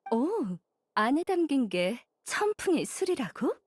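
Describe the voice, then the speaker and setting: A woman voicing a composed, bookish female mentor in an anime game, playful and explanatory in an unhurried way. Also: high-pitched, very animated, dry recording, clean studio sound, soft background music, heavily acted. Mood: explaining.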